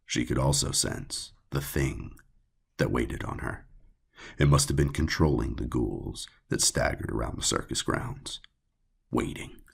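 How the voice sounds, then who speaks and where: A man narrating a dark audiobook tale in a gravelly, raspy voice, brooding and measured, with deliberate pauses. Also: very deep voice. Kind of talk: dramatic scripted horror narration.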